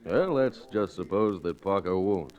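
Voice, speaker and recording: A deep-voiced scheming outlaw boss. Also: male voice, animated, unhurried, muffled recording. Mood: sly, menacing. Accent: American. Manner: sly, commanding. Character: scheming, cold-blooded male outlaw boss. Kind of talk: old-time radio Western acting.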